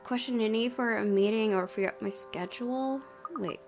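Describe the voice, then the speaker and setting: A woman's voice: a little high, normal speed. A woman hosting a let's play, commenting casually in a chatty, giggly, rambling way. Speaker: gaming video host. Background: soft game music.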